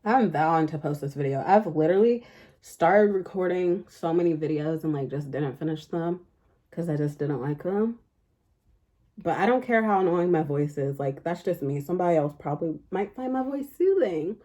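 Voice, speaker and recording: Young woman beauty vlogger, deep and mellow, loose rambling delivery. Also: lively intonation, moderate pace. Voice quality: fairly deep speaking voice. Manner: rambling, chatty, heavy use of "like" fillers. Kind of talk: casual makeup tutorial chat.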